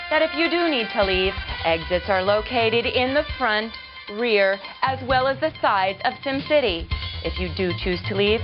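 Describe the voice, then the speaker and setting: An actress playing a polished, flippant American TV news anchor in a mock game newscast, with a deadpan delivery. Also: female voice, medium pitch, lightly acted. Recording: dull lo-fi audio under music. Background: music.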